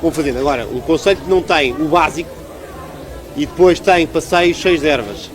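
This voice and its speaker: A man's voice: a little high, fairly quick. A man with a European Portuguese accent giving a conversational political interview as a local politician, arguing emphatically and repeating phrases for stress.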